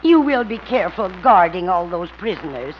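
On a muffled, noisy 1950s radio recording, a gentle mother of a grown son. She sounds mild and fretful, with a lively rise and fall. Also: lowish voice, normal speed. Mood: mildly worried. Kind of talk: 1950s radio comedy acting.

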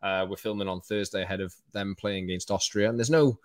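Quick-talking Welsh man hosting a podcast. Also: on the low side, dry recording, clean studio sound. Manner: quick, chatty, energetic delivery with fillers. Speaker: podcast host. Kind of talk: casual football podcast chat.

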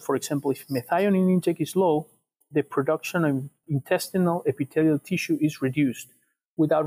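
A young man from Ecuador with an Ecuadorian Spanish accent explains research as an interview guest, in a measured way, often repeating words as they go. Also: a little high, dark tone, rough recording. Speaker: guest researcher, PhD student.

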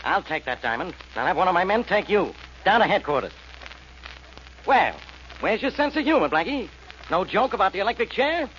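A man's voice: high voice, big pitch swings, fairly quick, long pauses, a bit bright. An actor playing a blustering, smug police inspector who taunts his catch in a 1940s radio drama. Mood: gloating. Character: gloating, blustering police inspector, adult man. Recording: muffled, faintly noisy old radio recording.

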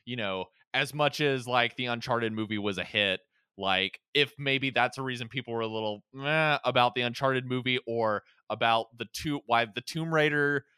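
A man's voice: mid-range voice, normal speed, pushed and bright, smooth, no room sound, studio quality. A man in a casual podcast conversation about films, musing aloud in a tentative, conversational way. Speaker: podcast speaker. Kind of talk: casual film discussion.

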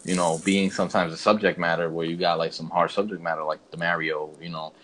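A man's voice: low voice, flat delivery, fast, fairly bright tone, poor audio. A man co-hosting a podcast in casual, slangy chat, feeling his way through sentences, with background noise. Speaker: podcast co-host.

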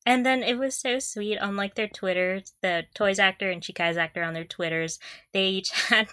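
A woman's voice: mid-range voice, fairly even intonation, moderate pace, sharp tone, no echo, clean studio sound. A young woman co-hosting a casual fan podcast, talking in a giggly, gushing way. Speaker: podcast co-host.

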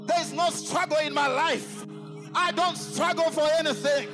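A pastor leading a congregation in forceful call-and-response declarations, often shouting. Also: male voice, extremely high pitch, fairly even intonation, moderate pace. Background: music.

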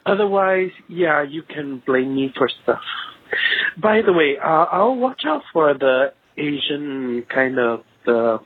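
A man from Singapore speaks over a narrow, tinny phone line. He leaves a casual listener message, rambling and halting as he drifts into asides. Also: on the high side, sing-song, slightly rough, no room sound. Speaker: phone-in listener leaving a voicemail.

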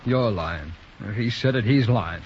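A man's voice: fairly low pitch, expressive, unhurried. An actor playing a surly, defiant young male hoodlum in a radio drama, talking in sullen, defensive slang. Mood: defiant, protesting. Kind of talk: 1950s radio drama acting. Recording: dull, faintly noisy old radio recording.

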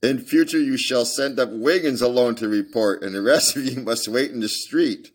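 A man reading aloud and voicing a brisk, sharp-witted male detective, raising his voice for the part. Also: mid-range voice, husky, pitched above the performer's own voice, natural voice. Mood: amused.

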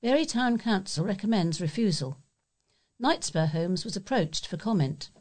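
A woman's voice: mid-range voice, expressive, moderate pace, darkish tone. A woman with a British accent reads local news articles aloud. She works from a written script as a volunteer newsreader, speaking in a mid-range voice.

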